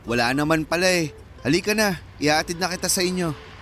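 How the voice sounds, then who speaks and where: A man's voice: a little high, fairly quick, a bit bright, lightly acted. A man with a Filipino accent playing a young businessman in a radio drama, teasing yet protective toward his friend. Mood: teasing, caring.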